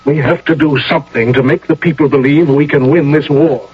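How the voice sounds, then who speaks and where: An actor playing a seasoned older general in a radio drama, speaking with formal, measured authority. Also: male voice, fairly high pitch, fairly even intonation, breathy edge. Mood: troubled, insistent. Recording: dull, noisy old radio recording.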